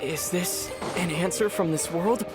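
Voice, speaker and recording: A man's voice: high voice, unhurried. A man voicing an earnest young traveler hero in a video game, thinking aloud with concern. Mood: pondering, determined. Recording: loud game music behind the voice.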